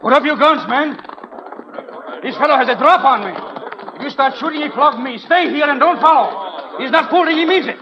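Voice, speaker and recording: Cornered western gang boss, urgent calling, very high strained pitch, muffled old radio broadcast. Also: male voice, expressive, normal speed, long pauses, crowd noise. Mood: urgent, alarmed. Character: cornered outlaw gang boss shouting to his men. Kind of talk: 1940s radio drama acting. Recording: dull 1940s radio broadcast.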